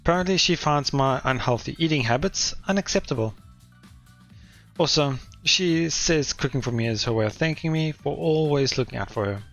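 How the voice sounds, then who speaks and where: A man's voice: a little high, normal speed, a bit bright, faint music behind. A man reading aloud as a wry, self-deprecating teenage schoolboy narrating his own story from a visual novel, in a dry manner.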